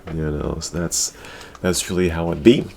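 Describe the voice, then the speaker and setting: Man, very low voice, talk show host, casual gaming chat, crude slang, repeated catchphrases, some background noise. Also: fairly even intonation, moderate pace, creaky, darkish tone.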